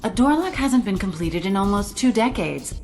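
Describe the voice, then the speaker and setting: A woman playing a sharp, probing agent. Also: mid-range voice, expressive, normal speed, faint music behind, close to an everyday voice. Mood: urgent. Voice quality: direct, probing. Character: sharp, questioning female secret agent. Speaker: spy agent. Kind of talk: audio drama acting.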